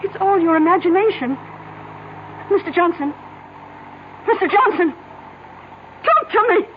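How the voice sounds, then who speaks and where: A woman's voice: extremely high pitch, lively intonation, a little slow, a little hiss or hum. An actress playing an anxious, sensible local woman in an old-time radio drama, earnest and coaxing. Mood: pleading, urgent. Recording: tinny old radio broadcast, music behind.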